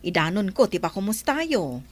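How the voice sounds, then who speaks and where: A woman's voice: mid-range voice, sing-song, moderate pace. A woman playing a kindly older village aunt who carries messages in a dramatised story.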